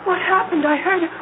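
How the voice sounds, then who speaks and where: An actress playing a frightened young captain's wife in a 1970s radio drama, horrified and pleading, on a noisy old recording. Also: female voice, very high voice, phone-quality sound, full character voice.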